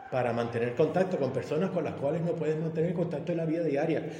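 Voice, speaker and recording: A man's voice: a little high, quick pace, warm tone. Man in his fifties, challenging and emphatic. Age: 50s. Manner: challenging, leading questions, emphatic. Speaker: police officer giving school talks. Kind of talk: debate, questioning a young guest. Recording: echoey hall with faint noise.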